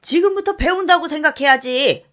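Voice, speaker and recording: A woman voicing a harsh middle-aged mother who scolds her daughter and dotes on her son, speaking loud, shrill and scornful in a storybook reading. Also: moderate pace. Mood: scolding. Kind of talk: storybook reading with acted voices.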